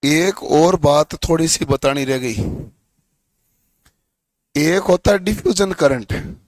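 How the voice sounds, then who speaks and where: Hoarse man teaching a lesson. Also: a little high, takes it slowly, dry recording. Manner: asks students questions and answers them himself. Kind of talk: classroom lecture.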